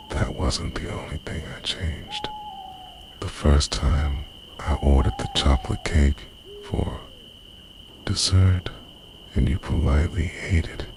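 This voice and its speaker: A man playing an obsessive, devoted boyfriend in an ASMR roleplay, speaking in a hushed, intimate, breathy way that often slips into whispering. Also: very deep voice, background noise, exaggerated voice. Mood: earnest, devoted. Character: obsessive, devoted boyfriend who secretly time-travels, confessing to lover.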